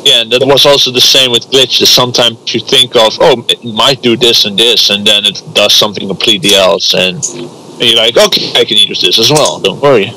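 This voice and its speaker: A man's voice: mid-range voice, steady tone, a little fast, pushed and bright, slight background noise, low-quality recording. A man, hosting a casual group voice chat and explaining ideas loosely. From Netherlands.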